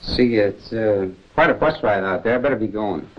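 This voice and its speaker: An actor playing a polite, awkward undercover agent, hesitant and deferential, in a 1940s film. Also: male voice, fairly low pitch, moderate pace, natural voice. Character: polite, awkward young male agent posing as job seeker. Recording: muffled noisy 1940s film soundtrack.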